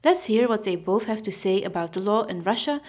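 A woman with a mid-pitched voice reads documentary narration from a script. Her delivery is even and measured. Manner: even, read-aloud delivery.

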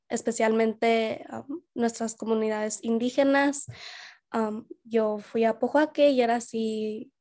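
Young woman, from New Mexico, guest, relaxed, slow, higher pitch. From New Mexico, USA. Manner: relaxed, many fillers, laughs easily. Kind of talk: casual conversation.

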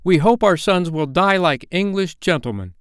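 A man voicing a grand, firm, motherly English girl in a storybook, speaking in a measured way. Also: high-pitched, expressive, clear, resonant tone, no room sound, studio quality, pitched above the performer's own voice.

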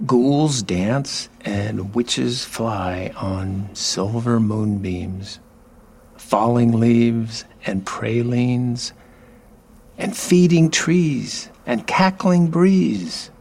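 A man acting in an audio drama as an odd, playful, lonely middle-aged workman, whimsical and halting, with earnest oddball candor. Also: mid-range voice, vocal fry, a little mellow, slightly rough, exaggerated voice. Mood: wistful, dreamy.

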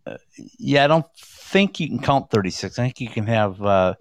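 A man hosting a sports talk show, chatting loosely with a slightly hoarse voice. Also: mid-range voice, lively intonation, normal speed. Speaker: show host. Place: home studio in Scottsdale.

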